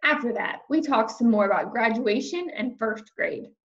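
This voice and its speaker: A woman reading a children's chapter book aloud in an expressive storytelling style. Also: a little high, normal speed, gravelly, highs cut off. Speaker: storybook reader.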